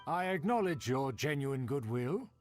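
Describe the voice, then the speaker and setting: An actor playing a haughty aristocratic nobleman in a video game, speaking formally with deliberate, pompous enunciation. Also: medium pitch, very animated, a little mellow. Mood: pompous, approving. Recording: music behind the voice.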